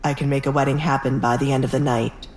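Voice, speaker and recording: A woman's voice: rumbling low, level tone, fast, over music, pitched below the performer's own voice, rough recording. A woman voicing a ruthless, powerful young duke in an audio drama, cool, self-assured and commanding. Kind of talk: audio drama narration with acted dialogue.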